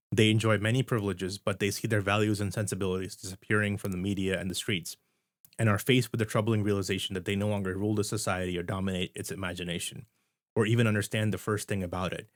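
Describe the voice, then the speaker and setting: A man's voice: fairly low pitch, steady tone, quick pace, no room sound, studio quality. A man from India with an American accent, giving casual, rambling commentary full of fillers and restarts. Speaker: video essayist.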